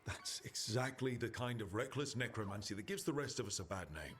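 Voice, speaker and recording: A man's voice: lowish voice, expressive, fairly quick, a bit bright. An actor playing a decisive male adventurer in a fantasy video game, wry and terse. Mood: wry, resolute.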